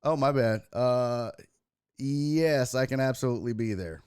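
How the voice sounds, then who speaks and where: Hoarse, raspy-voiced man hosting a stream. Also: mid-range voice, measured pace, a little mellow, no room sound, clean studio sound. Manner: crude, self-mocking banter with chat. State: hoarse, losing his voice. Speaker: host. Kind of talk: casual livestream sports talk.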